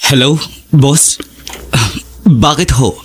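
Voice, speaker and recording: A man with a Filipino accent playing a loving, apologetic boyfriend in an audio drama, speaking in a soft, reassuring way. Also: mid-range voice, slow, over music, natural voice. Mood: deferential. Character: loving, apologetic boyfriend keeping secrets about his work. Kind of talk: Tagalog audio drama acting.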